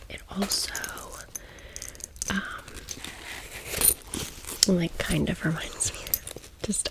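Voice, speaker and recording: Whispering American woman, slow and creaky. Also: on the low side, rough recording. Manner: chatty, playful, with slangy phrasing. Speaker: ASMR video host. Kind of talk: whispered ASMR storytime and haul.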